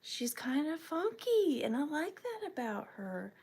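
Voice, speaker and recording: A woman hosting a live vintage sale from her home, talking softly and chattily, sometimes dropping to a whisper. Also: sits high, very animated. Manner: soft, slow and chatty, drifting into whispers. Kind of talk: live auction selling chat. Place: home studio with ring light.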